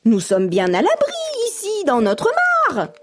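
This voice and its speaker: A woman's voice: a little high, huge pitch swings, measured pace, heavily acted. A woman voicing a complacent female frog in a folk tale, dismissive and unconcerned in manner. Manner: shrugging, dismissive questioning.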